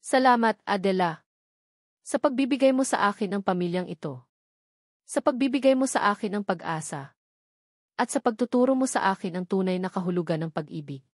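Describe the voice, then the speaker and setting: A woman's voice: mid-range voice, lively intonation, a little fast, long pauses, no room sound, close to an everyday voice. A woman narrator plays a devoted family man in a romance storybook. He speaks with tender, earnest gratitude. The sound is dry and close. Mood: grateful, loving. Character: tender, grateful man in love, devoted father.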